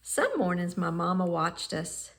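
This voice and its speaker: Female picture-book narrator: lowish pitch, unhurried, expressive phrasing with pauses. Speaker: storybook reader. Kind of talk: children's picture book read-aloud.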